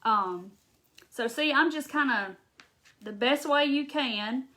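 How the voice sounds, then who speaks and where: Southern woman, live craft host; crisp bright tone, chatty and rambling, talks right to viewers. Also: high voice, slow. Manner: chatty, talks straight to viewers and answers comments; rambling with restarts and laughs.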